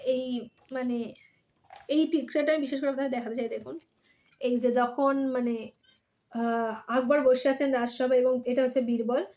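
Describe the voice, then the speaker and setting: High-voiced woman storyteller. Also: steady tone, moderate pace, pauses often, mellow. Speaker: storyteller and host of a story-reading channel. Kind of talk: expressive storybook narration and casual chat to viewers. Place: at home.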